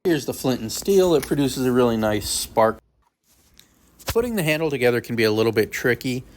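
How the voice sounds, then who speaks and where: A man's voice: medium pitch, big pitch swings, a little fast, a little hiss or hum. A man with a mid-range voice presents a product review. He explains each feature and step in a plain, practical manner, as in an instructional unboxing video.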